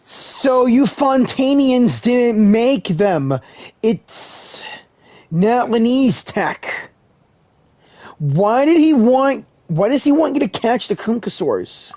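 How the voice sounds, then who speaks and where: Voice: mid-range voice, lively intonation, slow, darkish tone, dry recording. A voice actor playing a brash, blunt young companion fighter in a game cutscene. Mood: questioning.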